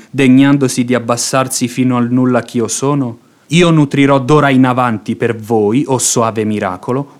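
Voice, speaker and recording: A man's voice: medium pitch, lightly acted. An actor playing a fawning, impassioned religious hypocrite in a radio drama, declaiming his pleas in florid, unctuous phrases. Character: pious hypocrite seducer, fawning, impassioned, silver-tongued man. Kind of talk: theatrical radio drama acting.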